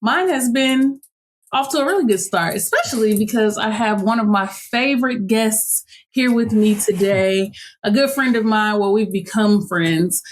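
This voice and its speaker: A woman in her late thirties hosts a podcast and chats in a relaxed, friendly way. Her voice sits at a middle pitch. She laughs easily as she talks. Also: normal speed. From Chicago. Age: 30s. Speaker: podcast host. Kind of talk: casual chat.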